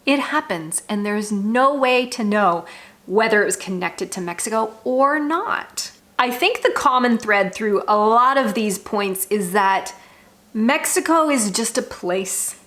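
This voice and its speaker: A woman's voice: on the high side, fairly bright tone. An American woman vlogger talking candidly and informally to her viewers, with frank, emphatic delivery. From United States. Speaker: travel vlogger. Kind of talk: candid, conversational monologue to viewers.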